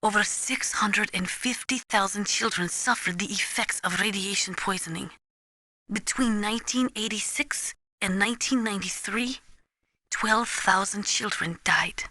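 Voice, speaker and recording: A woman playing an earnest, resolute Ukrainian weapons analyst in a video game, speaking gravely and deliberately as if lecturing. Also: medium pitch, pushed and bright, no echo. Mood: somber, resolute. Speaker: military advisor briefing a soldier. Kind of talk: dramatic video game voice acting.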